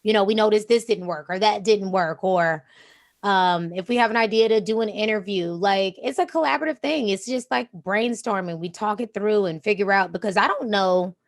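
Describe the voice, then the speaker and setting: A woman with a slightly raspy voice talks in a calm, easy flow. She is a DJ guest in a casual interview, often tossing in "you know what I'm saying." Her pitch sits in the middle. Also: moderate pace. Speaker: DJ and Twitch streamer, guest.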